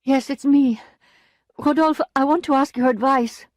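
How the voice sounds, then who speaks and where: A woman's voice: high voice, steady tone, measured pace, no echo, pitched above the performer's own voice. A woman playing a proud, desperate young wife. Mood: bitter. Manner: emotional, pleading and bitter. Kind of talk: audiobook character voice.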